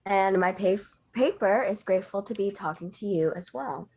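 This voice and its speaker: A woman's voice: mid-range voice, normal speed, a little mellow, husky. A woman playing a guarded, businesslike reporter with some spice, reserved and matter-of-fact, in an audio drama table read.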